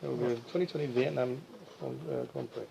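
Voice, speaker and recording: A man's voice: mid-range voice, fast, gravelly. Male quiz master, faint background noise. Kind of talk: reading quiz questions to contestants. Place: live quiz night, group in a room.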